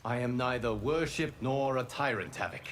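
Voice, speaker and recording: Guy doing a grave formal godlike guardian, measured mid-pitched voice, fighting game lines, bit of noise. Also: fairly bright tone, natural voice. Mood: solemn, firm. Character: solemn, principled male demigod, calm and resolute. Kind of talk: video game character dialogue.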